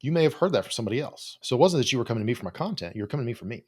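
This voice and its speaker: A man's voice: mid-range voice, sing-song, very fast, slightly rough. A man giving informal solo advice as a coach, talking in a blunt, no-nonsense way with plenty of casual swearing. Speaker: host, psychologist and business coach for creators.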